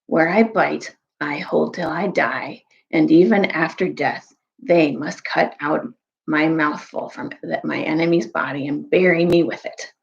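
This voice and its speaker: A woman's voice: low-pitched, a little slow, creaky. A woman voicing a ravenous wolf-like male creature from a storybook, dull and gray, with grim, chanting menace. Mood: cold menace.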